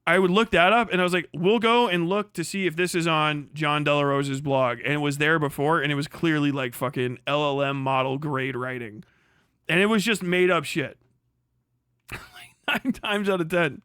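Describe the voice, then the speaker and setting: Bright-voiced sardonic streamer guy. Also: a little high, moderate pace, clear, resonant tone, dry recording, clean studio sound. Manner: sardonic, swearing, laughing often, incredulous outbursts. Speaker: streamer host. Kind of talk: casual live-stream commentary.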